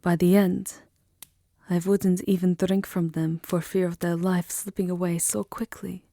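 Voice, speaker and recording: Slow, hushed vampire countess acted by a woman. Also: on the low side, flat delivery, no room sound. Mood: ominous, confessional. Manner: hushed, breathy, often dropping into whispers, languid and sensual. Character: seductive, tender vampire countess, wistful and menacing lover. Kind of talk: intimate ASMR roleplay.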